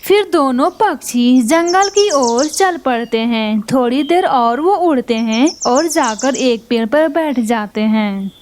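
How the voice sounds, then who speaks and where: A woman narrating a children's cartoon story in a plain, measured way. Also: high voice, animated, a little mellow, a little hiss or hum.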